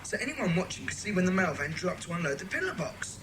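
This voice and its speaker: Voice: medium pitch, sing-song, quick pace, noisy background, lightly acted. An actor playing a keen, thorough young boy detective in a dramatised children's audiobook. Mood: discovering.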